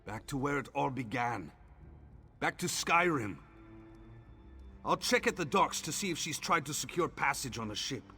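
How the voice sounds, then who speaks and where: An actor playing a blunt, earnest, protective man in a fantasy game, forceful in manner. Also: medium pitch, expressive, moderate pace, pauses often, faint music behind. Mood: worried, earnest. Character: earnest, protective, blunt male werewolf ally. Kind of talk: game dialogue acting.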